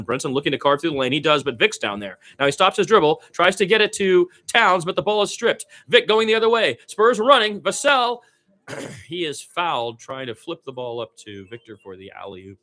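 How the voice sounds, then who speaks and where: A man's voice: medium pitch, expressive, a little fast, sharp tone. A man doing amateur radio-style basketball play-by-play, conversational, with his own opinions mixed in.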